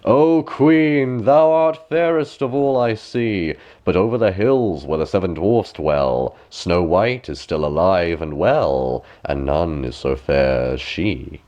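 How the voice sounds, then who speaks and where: A man voices an enchanted mirror from a fairy tale. It recites in slow, measured rhyme, solemn and oracular, with sweeping intonation. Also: low voice, expressive, a little mellow, muffled recording. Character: solemn magic looking glass, truthful oracle.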